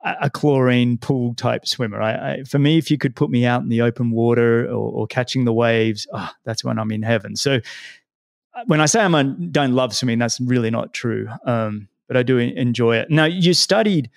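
Host voice: a mid-pitched man, friendly and casual, tripping over repeated words, dry recording. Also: moderate pace, gravelly, dry recording. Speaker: podcast host. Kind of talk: casual interview chat.

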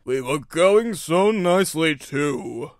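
A man voicing a big, boastful express steam engine in a storybook, with bellowing, drawn-out delivery. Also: a little high, lively intonation, dry recording, studio quality. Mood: moaning.

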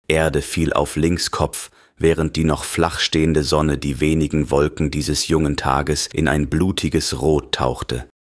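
Guy reading a horror audiobook, super deep voice, serious and measured, really dry recording. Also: no echo. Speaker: audiobook narrator. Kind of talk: scripted horror story narration.

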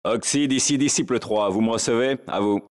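A man playing a steady, easygoing patrol soldier in a video game, making matter-of-fact radio calls. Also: medium pitch, brisk, close to an everyday voice. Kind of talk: military radio chatter, game voice acting.

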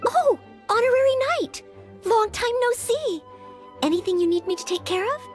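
An extremely high-pitched young maid for a video game, earnest and courteous. She is hesitant and self-doubting yet dutiful, and background music plays softly under her words. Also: animated, normal speed. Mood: polite, eager. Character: earnest, anxious young maid aspiring to knighthood.

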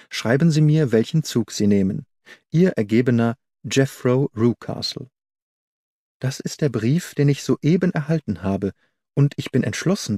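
A man narrates a scripted detective story with a soft, mellow tone. His delivery is measured but expressive, at a middle pitch. The sound is close and very dry. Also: no echo. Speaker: audiobook narrator.

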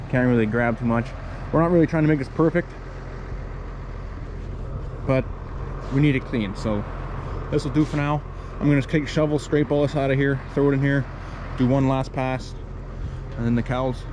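A man's voice: mid-range voice, steady tone, moderate pace, pauses often. Young guy on a dairy farm, action cam outside, just explaining stuff as he works, noisy yard behind him. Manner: plain, matter-of-fact explaining. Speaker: dairy farmer vlogger. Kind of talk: casual narration while doing chores. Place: outdoors on a snowy farm yard by a barn. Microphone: action camera, sometimes held at arm's length.

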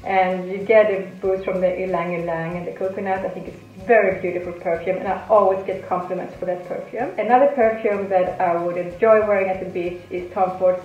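A woman's voice: lowish voice, steady tone, a little fast, hardly any pauses, darkish tone, roomy sound, dull audio. A woman in her thirties, a perfume vlogger, chatting casually and upbeat about her favourite scents. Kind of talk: casual vlog chat.

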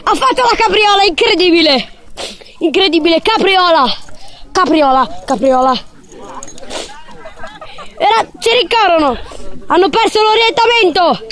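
A woman giving excited, loud mock sports commentary, repeating phrases over and over. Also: extremely high pitch, measured pace, pauses often, a little hiss or hum. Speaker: amateur commentator filming dogs at play.

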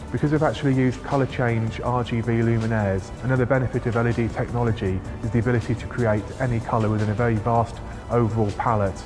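A man, explaining a project in an interview as a consultant. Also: medium pitch. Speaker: lighting consultant. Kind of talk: interview explanation for a case study video. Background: music.

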